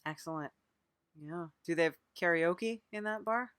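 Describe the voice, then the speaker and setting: A woman's voice: fairly low pitch, normal speed, a bit bright. A woman hosting a podcast, chatting casually and playfully with a mock-earnest manner. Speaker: podcast host. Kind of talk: casual improvised interview and show chat.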